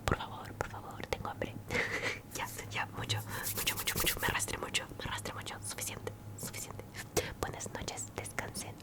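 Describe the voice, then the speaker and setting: A woman whispers in ASMR style, softly repeating words and phrases. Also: normal speed. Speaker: ASMR creator and channel host.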